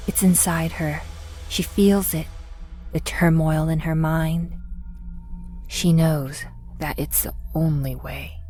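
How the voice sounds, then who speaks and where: Low-voiced, unhurried woman narrator. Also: fairly even intonation, mellow, faint music behind. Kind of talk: scripted audio drama narration.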